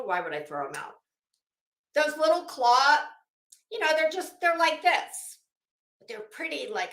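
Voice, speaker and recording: A woman chats to her livestream in a bright, forceful voice with a roomy echo around it. She takes her time, rambling, breaking off to search for a word, then quoting herself with dramatic emphasis. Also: high-pitched. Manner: rambling, self-interrupting, searching for words. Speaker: livestream host. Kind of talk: casual livestream chat. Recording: roomy, echoing room sound.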